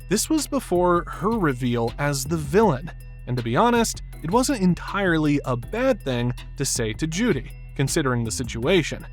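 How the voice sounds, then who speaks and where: Animated, higher-voiced male video narrator. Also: moderate pace, soft background music. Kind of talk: scripted film analysis narration.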